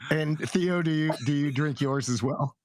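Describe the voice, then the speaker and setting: A man's voice: on the high side, normal speed, a little mellow, no room sound. Man narrating a tabletop game, dry recording. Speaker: game master.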